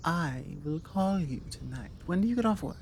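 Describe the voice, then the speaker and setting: A woman with a British accent playing a forward, confident female vampire in an intimate ASMR roleplay, teasing and coaxing with deliberate pauses. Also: lowish voice, a little hiss or hum. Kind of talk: ASMR roleplay, intimate one-sided conversation. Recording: steady outdoor ambience with birdsong. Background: birdsong and outdoor ambience.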